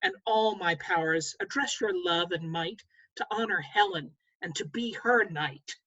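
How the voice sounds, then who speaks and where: A woman's voice: on the low side, measured pace, sharp tone, breathy edge, lo-fi sound, full character voice. A woman playing an ardent, fickle young nobleman in a verse play read over a video call, impassioned and declamatory. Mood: scornful, resolute. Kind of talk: stage verse read aloud at a table read.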